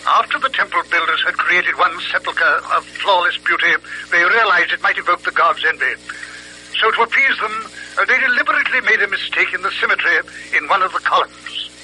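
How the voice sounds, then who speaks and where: An actor playing a pompous, precise British doctor and criminologist in an old-fashioned radio drama, speaking formally and pedantically. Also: male voice, high-pitched, a little fast, sharp tone, slight background noise, low-quality recording. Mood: pedantic, composed. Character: pompous, precise, sharp-minded doctor and criminologist dictating notes.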